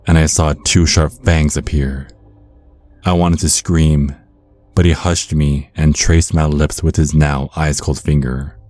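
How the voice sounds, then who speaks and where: Man playing a very deep-voiced vampire storyteller. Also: a little mellow, dry recording. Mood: ominous. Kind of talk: first-person horror storytelling, ASMR.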